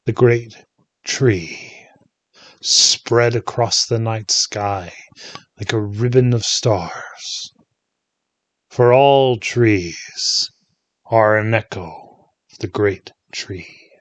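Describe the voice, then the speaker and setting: A man leading a guided meditation in a soothing, hushed manner, leaving long pauses between short phrases. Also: lowish voice, dry recording.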